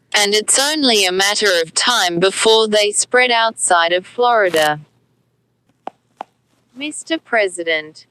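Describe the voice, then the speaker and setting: A woman's voice: mid-range voice, bright, forceful tone, rough recording. A woman playing a dutiful, grave female military advisor in an amateur audio drama.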